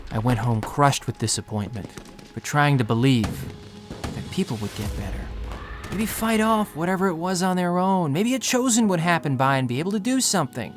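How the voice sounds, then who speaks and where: An actor playing a poor, anxious young man who narrates his own story in a quiet, melancholy, self-critical way. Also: medium pitch, lightly acted. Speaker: narrator and lead character. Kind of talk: first-person audio drama narration.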